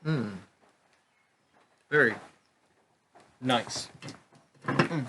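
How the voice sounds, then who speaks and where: Slightly raspy man with a country vibe. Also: mid-range voice, very slow, background noise. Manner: relaxed, musing, many fillers. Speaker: cigar review co-host. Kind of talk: casual chat.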